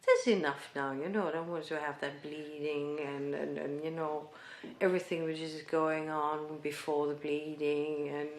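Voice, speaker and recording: A middle-aged woman with a low voice speaks frankly, with laughs. The recording sounds roomy and carries a faint hiss of noise. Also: level tone, moderate pace. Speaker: interviewee.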